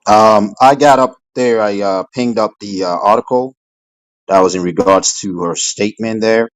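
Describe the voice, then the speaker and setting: Low-voiced man moderating, hesitant. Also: moderate pace, smooth, no room sound, poor audio. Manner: hesitant, full of fillers and "right?". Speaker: moderator of an online discussion room. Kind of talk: casual moderating and commentary.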